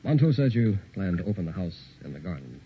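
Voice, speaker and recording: A determined count acted by a man in a vintage radio horror drama. His low voice moves widely in pitch, in clipped phrases, over a muffled, slightly noisy recording. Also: sing-song, quick pace. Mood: resolute. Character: male count, master of a castle, determined. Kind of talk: 1960s old-time radio horror acting. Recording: muffled old radio recording, background noise.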